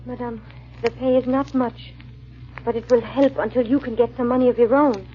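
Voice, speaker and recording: A woman's voice: a little high, steady tone, moderate pace, lightly acted. A woman playing a loyal, worried maidservant in a 1940s radio drama, heard on a muffled old broadcast recording. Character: loyal maidservant companion, worried but stubbornly devoted.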